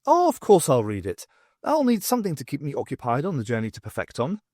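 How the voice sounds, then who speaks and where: A man voicing a wry, brisk female academic in an audiobook, with a dry, sardonic manner. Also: mid-range voice, huge pitch swings, a little mellow, dry recording. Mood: bright, wry.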